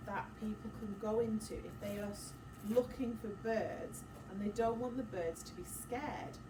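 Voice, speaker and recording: A woman's voice: on the high side, normal speed. Woman teacher doing story time w little kids, asking questions, echoey noisy classroom, mic far off. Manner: questioning, explaining simply to children. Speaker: primary school teacher. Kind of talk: interactive story-time lesson for young children.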